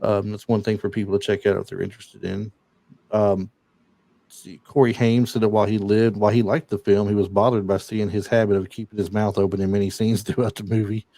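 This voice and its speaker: A man's voice: fairly low pitch, flat delivery, a little fast, dark tone, no room sound. A man hosting a casual podcast, retelling movie scenes and reading off film trivia with lots of fillers. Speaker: podcast host. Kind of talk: casual chat.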